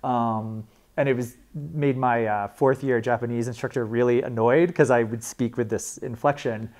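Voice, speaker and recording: A man's voice: medium pitch, fairly even intonation, normal speed, darkish tone. A young man, a librarian, teaching a class in a casual, chatty way with frequent fillers and restarts. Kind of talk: informal classroom talk.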